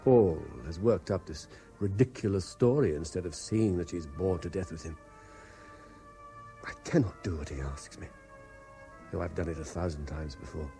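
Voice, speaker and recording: An actor playing a haunted, reluctant private investigator in a radio drama, with a British accent and a hesitant, measured way of speaking. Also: male voice, low voice, warm tone, faint music behind. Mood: sombre, dictating. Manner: hesitant, stammering in talk, measured when dictating. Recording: 1970s radio drama broadcast.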